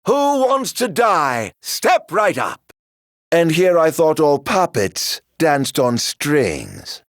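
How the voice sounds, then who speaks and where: A man playing a middle-aged video game villain, sardonically genteel and flamboyant, with mock-polite, drawn-out delivery. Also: fairly high pitch, huge pitch swings, no echo, clean studio sound, heavily acted. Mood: mocking, playful. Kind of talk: video game battle voice lines.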